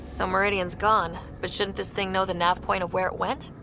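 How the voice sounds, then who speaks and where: A young female space explorer in a video game, determined and wry. She talks plainly and informally to her squad at a middling pitch, with clear music behind her. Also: flat delivery, normal speed, pushed and bright. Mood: matter-of-fact. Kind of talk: video game cutscene acting.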